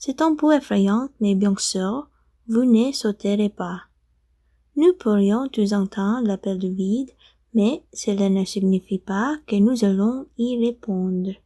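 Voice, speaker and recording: Mellow-voiced woman from the Philippines. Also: mid-range voice, takes it slowly. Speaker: learner sharing an expression. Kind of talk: read-aloud video message.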